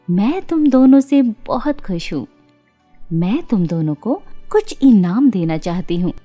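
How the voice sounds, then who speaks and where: Kind storybook fairy, voiced by a woman: gracious, measured, mellow and unhurried. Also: on the high side, voice pushed down, heavily acted. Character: kind, gracious fairy rewarding good children.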